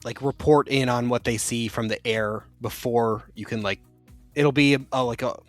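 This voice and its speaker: Male game master, mid pitch, rules explainer for a tabletop session, with faint background music. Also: steady tone, moderate pace, no echo.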